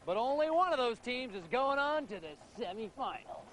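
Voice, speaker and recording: A man's voice: very high voice, sing-song, a little hiss or hum, low-quality recording. A man hosting a junkyard building competition in a playful, exaggerated, folksy way. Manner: folksy and exaggerated, drawn-out shouts. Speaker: host.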